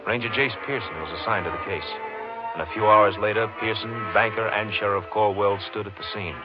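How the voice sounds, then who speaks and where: A man's voice: on the low side, fairly quick, loud music behind the voice. A man reading scripted narration as the narrator of a 1950s radio drama. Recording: muffled old radio recording.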